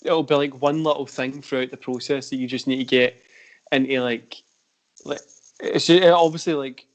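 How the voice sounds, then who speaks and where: A man's voice: medium pitch, fairly even intonation, normal speed. A Scottish man hosting a wrestling podcast, talking in a meandering way with many restarts. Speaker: podcast host. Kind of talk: casual podcast chat.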